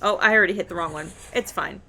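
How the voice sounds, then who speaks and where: A woman hosting casual gameplay commentary in an excitable, playful manner. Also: fairly low pitch, fast, gravelly, faint music behind. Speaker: gaming video host.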